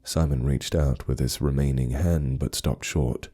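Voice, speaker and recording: A man's voice: extremely low pitch, steady tone, a little slow, warm tone. A man narrating a horror story in a dramatic, scripted storytelling style.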